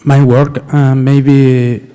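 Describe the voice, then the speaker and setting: A man who speaks natively, giving a lecture in halting English, searching for words and leaning on fillers, recorded in a lecture hall. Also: medium pitch, level tone, dark tone, clean tone. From Chile. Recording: roomy lecture hall echo.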